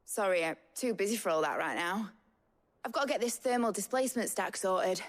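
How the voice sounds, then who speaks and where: An actress playing a brash, enthusiastic young engineer in a game cutscene, with a Northern English accent and colloquial, boastful delivery. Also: female voice, mid-range voice, a little fast, close to an everyday voice. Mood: busy, brusque. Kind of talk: lively game cutscene acting. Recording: music behind the voice.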